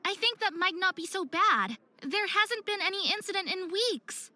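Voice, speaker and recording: A woman's voice: very high-pitched, lively intonation, moderate pace, sharp tone, no echo, exaggerated voice. A young woman voicing a hot-headed, distraught young faerie skater in a visual novel game, with a dramatic, emphatic delivery. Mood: defensive. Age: young adult.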